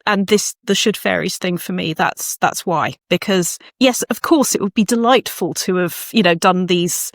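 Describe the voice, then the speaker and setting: A woman's voice: lowish voice, moderate pace, hardly any pauses, no echo, clean studio sound. A woman hosting a conversational interview, speaking in a reflective, encouraging way. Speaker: podcast host, writing coach.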